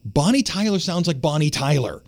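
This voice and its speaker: Baritone male radio host, storytelling. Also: very animated, moderate pace. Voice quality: lower baritone voice. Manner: storytelling, conversational, with restarts. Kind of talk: casual radio chat.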